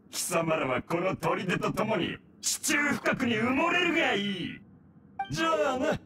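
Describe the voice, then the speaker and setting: A treacherous masked game villain, very high-pitched and sneering. The lines are drawn out and swing wildly between gloating and rattled shouts. It sounds echoey and set back, with some noise. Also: male voice, exaggerated voice. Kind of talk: Japanese anime game voice acting. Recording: echoey, distant, noisy game scene.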